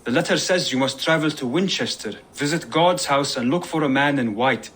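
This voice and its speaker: Voice a man playing a polite, learned advisor with a mid-pitched voice. His delivery is steady and helpful, and he reads out passages with care. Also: moderate pace, close to an everyday voice. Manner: courteous, informative.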